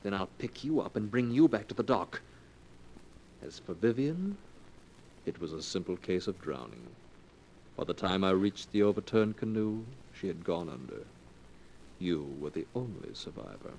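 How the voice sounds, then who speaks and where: Low-voiced scheming 1940s radio sweetheart. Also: male voice, lively intonation, normal speed, a little mellow. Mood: coldly persuasive. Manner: earnest, coaxing, melodramatic. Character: scheming young fortune-hunting man, smooth persuasive sweetheart. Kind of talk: 1940s radio drama acting. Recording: noisy vintage 1940s radio recording.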